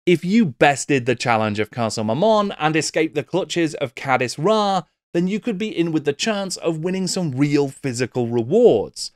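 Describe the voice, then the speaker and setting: A middle-aged man narrates a scripted video essay as its presenter. His voice sits a little high for a man. It is recorded close at a desk mic, with a dry sound. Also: lively intonation, moderate pace, hardly any pauses, no echo. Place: small book-lined home studio. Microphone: desk microphone up close.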